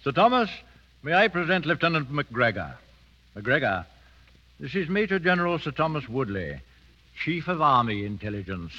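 A stern regimental colonel in a 1930s radio drama. He is formal and clipped, with a bright, rather high-pitched voice of command on a dull vintage recording. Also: sing-song, normal speed, full character voice.

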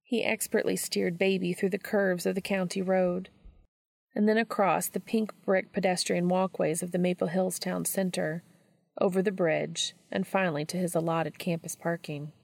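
Casting: female fiction narrator, lowish pitch, heavy vocal fry, close dry recording. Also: level tone, moderate pace, no room sound. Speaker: fan fiction audiobook reader. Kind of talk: scripted story narration.

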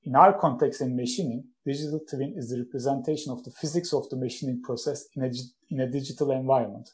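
A man in his forties from Turkey, speaking English, who answers interview questions in a measured, explanatory way. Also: medium pitch. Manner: measured, explanatory, with some restarts. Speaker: guest, company founder.

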